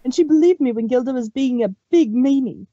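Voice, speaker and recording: High childlike pony mare, pleading and stammering, read by a woman. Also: expressive, fairly quick, dark tone, husky, no echo, muffled recording. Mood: realizing. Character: bubbly pink female pony, now distraught. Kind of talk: fanfic read-aloud on stream.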